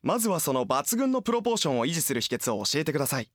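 A man's voice: a little high, sing-song, rapid-fire, fairly bright tone, no echo, clean studio sound. A man playing an eager interviewer and announcer in a scripted radio advertisement. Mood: polished, eager.

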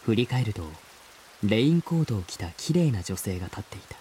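An actor playing an earnest, wistful man who narrates his boyhood memories in a measured, reflective way, as in a radio drama. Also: fairly low pitch, big pitch swings, dark tone, a little hiss or hum. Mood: quiet wonder. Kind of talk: scripted first-person radio drama narration.

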